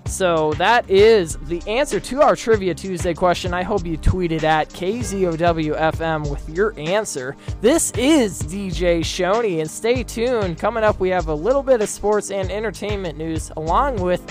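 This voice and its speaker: A man's voice: high-pitched, normal speed, hardly any pauses. A young man hosting as a college radio DJ in an upbeat broadcast style. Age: young adult. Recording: music bed behind the voice.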